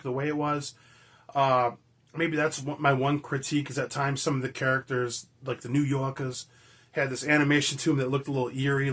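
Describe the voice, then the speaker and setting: A raspy man, hoarse from being sick, from Michigan, chatting enthusiastically and rambling as a movie-collecting vlogger. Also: medium pitch, a bit bright. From Michigan, USA. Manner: enthusiastic, rambling, frequent fillers and mild swearing. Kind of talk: casual chat to camera.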